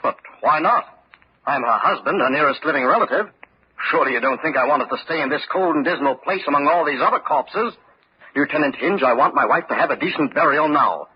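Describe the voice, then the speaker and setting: A man's voice: fairly high pitch, animated, fairly quick, husky. An actor playing an indignant, formal widower who insists on his rights, in a theatrical vintage radio style. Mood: indignant pleading. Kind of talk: vintage radio mystery acting. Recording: dull, hissy old radio recording.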